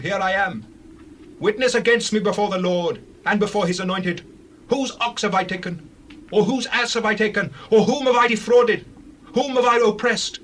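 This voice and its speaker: A man performs an old prophet in a scripture drama. His voice sits high for a man. He exhorts sternly and solemnly, with a little ambient noise behind him. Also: fairly bright tone. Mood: solemn challenge. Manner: declamatory, exhorting.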